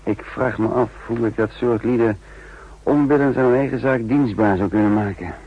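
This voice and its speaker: An actor playing a shrewd, sardonic counter-espionage chief in a 1960s radio drama, musing dryly with thoughtful hums. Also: male voice, medium pitch, moderate pace, slightly rough. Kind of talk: 1960s Dutch radio drama acting with narration. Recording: dull, noisy 1960s radio recording.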